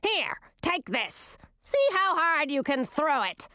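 A woman voicing a shrill, scornful old queen with a screechy, contemptuous way of scolding. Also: big pitch swings, slow pace, pushed and bright, no room sound, clean studio sound, full character voice.

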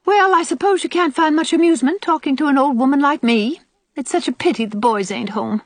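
A woman voicing a sour, sarcastic old country aunt with a sharp tongue, in an audiobook. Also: high voice, brisk, no room sound, pitched above the performer's own voice, natural voice. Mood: sniffing, scornful. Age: older. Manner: disagreeable, sarcastic, nagging. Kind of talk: audiobook character voice.

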